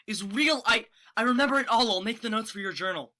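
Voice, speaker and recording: Young woman as bright-voiced, flustered teenage friend. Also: fairly high pitch, fast. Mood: excited. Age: young adult. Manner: flustered, stammering, emphatic. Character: anxious, earnest teenage best friend named Alex. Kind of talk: student one-act stage acting.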